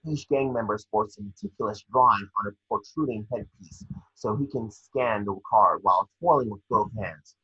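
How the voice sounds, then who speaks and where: A man with a husky voice reading scene descriptions at a table read, sometimes stumbling over the script. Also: fairly low pitch, moderate pace, sharp tone, lo-fi sound.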